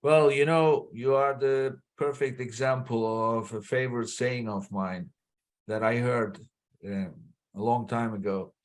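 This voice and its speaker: A male podcast host asks his questions and thanks his guest in a measured, encouraging manner. His voice sits at an ordinary middle pitch, and he keeps an unhurried, conversational pace. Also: steady tone. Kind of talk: interview hosting.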